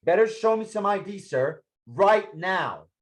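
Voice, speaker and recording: A man's voice: high voice, lively intonation, unhurried. Man acting a raised-voice, profane police officer. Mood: commanding, urgent. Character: police officer, forceful then dismayed. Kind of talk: scripted table read acting.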